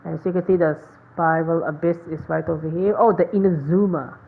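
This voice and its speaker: Low-voiced woman on a phone-like mic. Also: fairly even intonation, brisk, creaky. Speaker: game streamer. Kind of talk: casual gameplay commentary, reading dialogue aloud. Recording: thin phone-like sound, background noise.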